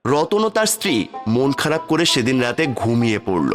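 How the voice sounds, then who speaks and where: A man serves as narrator of a cartoon fairy tale. His voice is mid-pitched and follows a storytelling script. Music plays behind him. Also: very animated, brisk.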